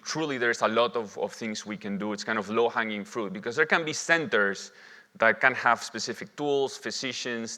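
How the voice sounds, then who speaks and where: Bright-voiced man lecturing in a reverberant hall. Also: on the low side. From Lima, Peru. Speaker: physician presenting as a conference speaker. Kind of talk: medical conference lecture. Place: indoor conference hall at a symposium. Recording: reverberant hall, long echo.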